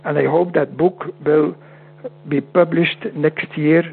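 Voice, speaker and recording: A man with a Dutch accent whose first language is Dutch, speaking as a guest in an interview over a call, halting and often repeating words. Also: a little high, steady tone, vocal fry, breathy edge. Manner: halting, repeats words, non-native phrasing. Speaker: guest homeopath. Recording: tinny phone-like line with hum.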